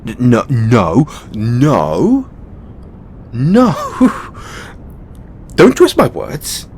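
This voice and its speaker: A man's voice: huge pitch swings, very slow, a little mellow, exaggerated voice. A man playing a flustered, tender god boyfriend in an intimate audio roleplay, stammering and repeating his words. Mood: agitated, defensive. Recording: noticeable low rumbling background noise.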